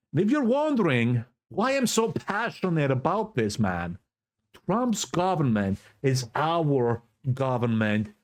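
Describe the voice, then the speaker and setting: A fervent Greek Cypriot man talks straight into his own camera and preaches like he's at a pulpit. He takes his time over every phrase, and his pitch swoops up and down with emotion. He hammers key words home by saying them twice. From Cyprus. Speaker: vlogger. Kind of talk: impassioned political monologue to camera. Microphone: self-recorded camera setup.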